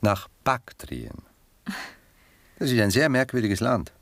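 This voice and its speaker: An actor playing a cultured, melancholic gentleman poet in a German radio drama, speaking in a measured, courteous, reflective manner. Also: on the low side, sing-song, gravelly, no echo, heavily acted. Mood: musing.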